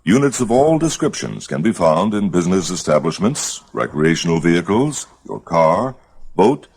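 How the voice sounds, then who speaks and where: Deep gravelly male instructional narrator. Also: big pitch swings, moderate pace, darkish tone.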